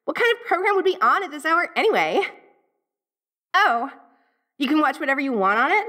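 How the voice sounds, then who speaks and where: Woman performer, tsundere eighties ghost girl, American valley-girl accent, high-pitched, bright forward tone, dorky, hesitant, roleplay audio. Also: sing-song, fairly quick. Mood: curious, incredulous. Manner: gushing, hesitant, slangy valley-girl talk. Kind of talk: audio roleplay acting, speaking directly to the listener.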